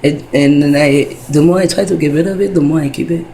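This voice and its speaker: Woman in her fifties, a dark, raspy-edged voice, for a loose, cursing, wandering vlog monologue. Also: low-pitched, quick pace, slight background noise. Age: 50s. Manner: wandering, heavy profanity, many fillers. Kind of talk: rambling casual monologue.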